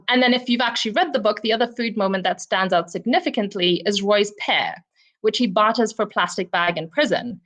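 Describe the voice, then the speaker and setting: A woman's voice: medium pitch, fairly bright tone. Woman from Zimbabwe, professor at a book club, thoughtful and personal, leaning on ums and you knows, heard over a video call. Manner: reflective, personal, frequent "um" and "you know" fillers. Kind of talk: virtual book discussion talk.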